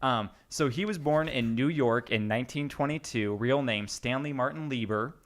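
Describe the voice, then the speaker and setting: A man's voice: medium pitch, normal speed. A man hosting a podcast in a casual, chatty and jokey way with slangy wording. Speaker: podcast host. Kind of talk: casual chat.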